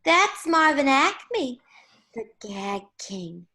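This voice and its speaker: A coy little cartoon showgirl, sweet and flirty. The voice sits very high and takes its time, cutesy in every phrase. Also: big pitch swings, drawn-out words, bright, forceful tone, exaggerated voice. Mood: friendly. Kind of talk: amateur table read of a cartoon film script.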